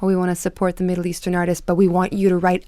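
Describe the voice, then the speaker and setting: A woman's voice: fairly low pitch, completely flat delivery, racing, mellow, no room sound, clean studio sound. A woman from Turkey talking reflectively as a guest in an interview. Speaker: playwright and actor, interview guest.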